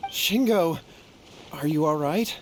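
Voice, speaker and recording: Meek, high-voiced young man. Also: lively intonation, slow, a little hiss or hum. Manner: meek, hesitant, apologetic. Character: timid, remorseful young man, easily frightened. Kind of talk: video game voice acting.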